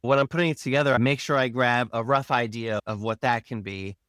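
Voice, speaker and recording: Smooth-voiced man in a casual interview. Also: medium pitch, brisk, fairly bright tone, dry recording. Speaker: sound designer and podcast guest. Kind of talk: casual behind-the-scenes interview talk.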